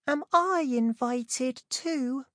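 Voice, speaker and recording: A woman's voice: high-pitched, drawn-out words, no room sound, studio quality, voice pushed up, heavily acted. Woman as a tiny-voiced, timid young girl. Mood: timid hope. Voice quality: tiny, soft. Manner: meek, hesitant.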